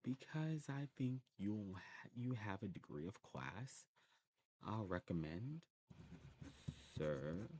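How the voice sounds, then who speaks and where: A man playing a courteous old demon shopkeeper in an ASMR roleplay, talking to the listener in a genteel way and musing with hums and pauses. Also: lowish voice, animated, a little mellow, a little hiss or hum. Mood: musing, generous.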